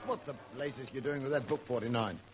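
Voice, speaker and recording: A man's voice: medium pitch, fairly quick, loud music behind the voice, close to an everyday voice. A man playing a brusque, irritable senior police officer, curt and authoritative, in vintage radio drama style. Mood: irritated. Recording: muffled old radio drama recording.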